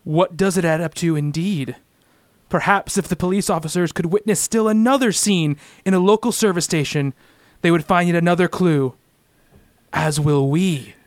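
A man's voice: sits high, dry recording, natural voice. A man playing a theatrical comic-book narrator, declaiming scripted narration in an amateur radio play. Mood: dramatic. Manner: melodramatic, fast, declamatory.

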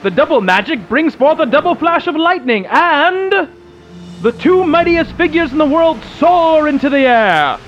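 A man's voice: very high voice, expressive, measured pace, muffled recording, over music. A man narrating in the melodramatic style of an old radio serial.

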